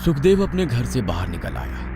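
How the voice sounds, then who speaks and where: A man's voice: mid-range voice, big pitch swings, moderate pace. A man narrating a scripted horror story in a dramatic storytelling style. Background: music.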